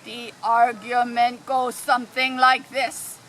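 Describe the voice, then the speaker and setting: A woman narrator with a high, bright voice reads a radio play script. She tells it deliberately and slowly, over a clearly noisy amateur recording. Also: flat delivery. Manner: deliberate read-aloud storytelling.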